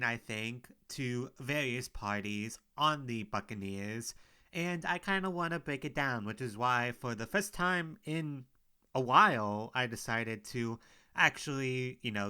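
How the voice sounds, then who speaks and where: A young man with a stuffy, sniffly cold delivers a casual solo rant. His voice is bright and forceful, with a smooth, crisp tone. He sounds candid and frustrated, and he rambles. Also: mid-range voice, lively intonation, a little slow. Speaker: sports podcaster. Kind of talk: casual opinion monologue.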